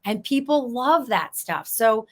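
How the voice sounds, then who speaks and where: A hoarse woman, a real estate agent, chatting in an interview and telling stories with easy laughter. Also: a little high, expressive, measured pace. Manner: chatty, storytelling, laughs easily. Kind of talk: casual interview chat.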